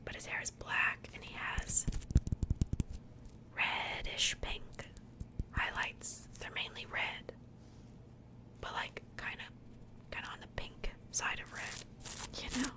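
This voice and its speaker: A woman whispering softly and breathily in a casual, gentle ASMR style, chatting conversationally close to the microphone. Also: rough recording. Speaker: host of an ASMR doll unboxing channel.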